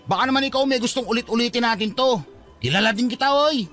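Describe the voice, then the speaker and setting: Man acting a cheeky, high-voiced young suitor. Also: quick pace, faint music behind. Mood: teasing. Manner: teasing, animated. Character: persistent, teasing young suitor, playful and cheeky. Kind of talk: Filipino radio drama dialogue.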